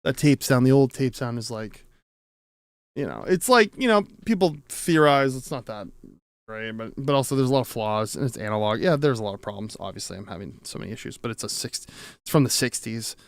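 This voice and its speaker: Creaky-voiced man rambling casually. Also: medium pitch, expressive, fairly quick, no room sound, clean studio sound. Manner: rambling, self-interrupting, swears casually; thinks aloud. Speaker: streamer and audio tinkerer. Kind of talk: casual livestream chat while repairing gear.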